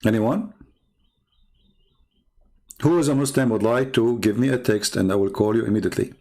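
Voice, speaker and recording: Gravelly Arabic-accented man challenging callers. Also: mid-range voice, normal speed, a little mellow. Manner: challenging, taunting, inviting callers. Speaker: online debate host. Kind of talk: live-streamed religious debate talk.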